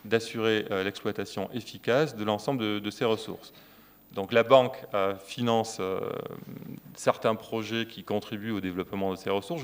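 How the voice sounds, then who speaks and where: A man speaking as an economist on a conference panel, measured and hesitant, with frequent filler pauses. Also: fairly low pitch, clear, resonant tone.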